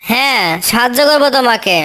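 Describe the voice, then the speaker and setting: Little tailorbird heroine female actor, cartoon fable: kind, determined, eager, high-pitched voice. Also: a little fast, sharp tone. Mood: reassuring.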